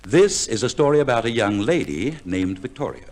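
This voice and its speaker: A man playing a courteous radio-play storyteller with a lively, varied delivery at medium pitch. He is formal and long-suffering, easily flustered, in 1950s comedy style. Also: moderate pace, lightly acted. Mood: polite, patient.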